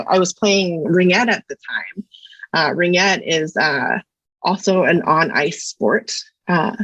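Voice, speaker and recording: A woman in her thirties from Canada speaks as an interview guest, thoughtful and pausing often with fillers. Her voice is husky and sits a bit low. The sound is dry and somewhat dull. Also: dry recording. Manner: thoughtful, hesitant with many fillers. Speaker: guest psychology researcher. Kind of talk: casual interview chat.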